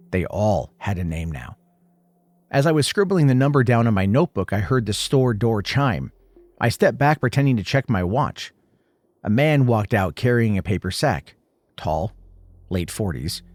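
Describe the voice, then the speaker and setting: Man, low voice, animated intonation, suspenseful scripted horror narration, clipped dramatic phrases, dry close recording. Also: no room sound.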